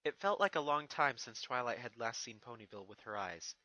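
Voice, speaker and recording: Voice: medium pitch, animated, a little fast, sharp tone, no echo. A narrator reading a fan fiction story expressively from a script, with music behind. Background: background music.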